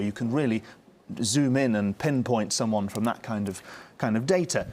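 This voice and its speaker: A man reporting live as a news correspondent, speaking off the cuff in a halting way and repeating words. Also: medium pitch, a little mellow.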